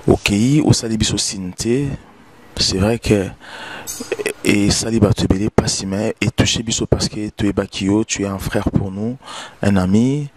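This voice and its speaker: Low-voiced Congolese man presenting news. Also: fairly even intonation, measured pace. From Democratic Republic of the Congo. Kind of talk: informal news presenting, mixing French and Lingala.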